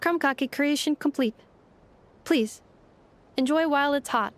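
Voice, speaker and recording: A woman's voice: high voice, a little slow, faint music behind. A woman playing a polite, literal-minded robotic girl in a video game, speaking formally and precisely. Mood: earnest, matter-of-fact.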